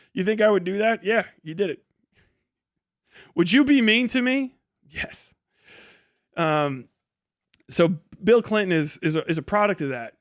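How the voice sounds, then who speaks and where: A man in his forties with a high voice, recorded dry and close to the ear. He rambles irreverently through a casual livestream rant and swears heavily as he goes. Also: fairly bright tone, smooth, dry recording. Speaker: podcast host and streamer.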